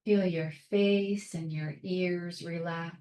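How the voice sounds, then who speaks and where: A woman leading a guided meditation in a calm, soothing, measured way, leaving long pauses between short phrases. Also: fairly low pitch, roomy sound. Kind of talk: guided meditation, soft instruction.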